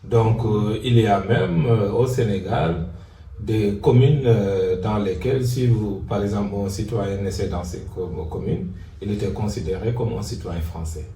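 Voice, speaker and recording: A man from Senegal whose first language is Serer, answering interview questions in a measured, hesitant way. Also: fairly low pitch, warm tone. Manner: measured, hesitant with many fillers. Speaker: teacher on a training course. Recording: echoey, distant, faint noise.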